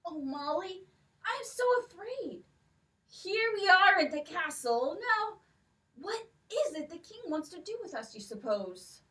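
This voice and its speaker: A timid, fearful young sister in a children's puppet show, played by a woman, fretting in a high, lively voice. Recorded from a distance in a room. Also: unhurried, exaggerated voice. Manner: nervous, fretting.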